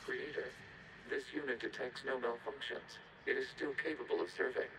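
A man playing a calm, dutiful robot intelligence in a video game, speaking in formal, measured, literal phrases. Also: mid-range voice, natural voice. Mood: earnest, questioning. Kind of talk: scripted video game dialogue. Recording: clearly noisy game audio capture.

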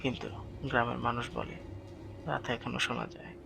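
A man's voice: medium pitch, flat delivery, normal speed, vocal fry, music in the background. A man narrating a scripted horror story in a dramatic, suspenseful way as the storyteller.

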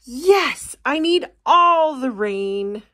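A woman's voice: high-pitched, animated, drawn-out words, pushed and bright, voice pushed up, full character voice. A woman voicing an eager, dramatic little female houseplant in a picture book, with emphatic exclamations. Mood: excited.

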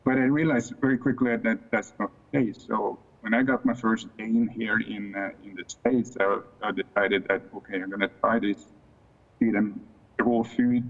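Norwegian guy, 60s, low voice, chats slow with lots of ums, dull video call sound from his home office. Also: fairly bright tone. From Norway. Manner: unhurried, many fillers and restarts. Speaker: guest expert. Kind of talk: casual interview. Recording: muffled video call audio.

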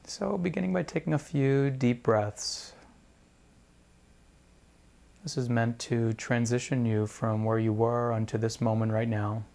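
A man leading a guided meditation as a teacher, speaking in a measured, deliberate way with pauses between phrases. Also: mid-range voice, fairly even intonation, gravelly, darkish tone.

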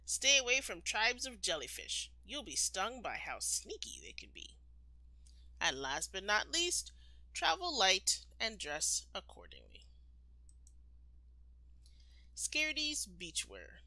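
A woman reading a children's picture book aloud expressively, like a storytime teacher, with deliberate, emphatic phrasing. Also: mid-range voice, huge pitch swings, pushed and bright, no echo, low-quality recording.

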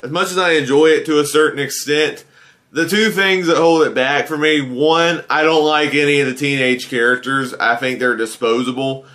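A man's voice: mid-range voice, pushed and bright. A man in his thirties giving a casual, earnest spoken film review from a desk webcam. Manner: conversational, earnest, uses filler phrases like "you know". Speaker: guest movie reviewer. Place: indoors in front of a green-screen backdrop.

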